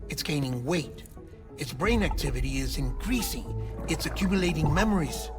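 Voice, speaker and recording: An actor playing a smooth-talking, clinical scientist in a cinematic video game, explaining things in theatrical, precise phrasing. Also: male voice, on the high side, expressive, moderate pace, over music, natural voice. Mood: insistent, clinical. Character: fussy, smooth-talking male scientist-doctor, clinical and secretive.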